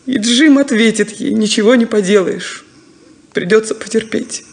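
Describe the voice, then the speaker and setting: An actress playing a sad, resigned unmarried farm daughter, wistful and deliberate, in a 1970s radio play. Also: female voice, fairly high pitch, darkish tone, natural voice. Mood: resigned, bitter.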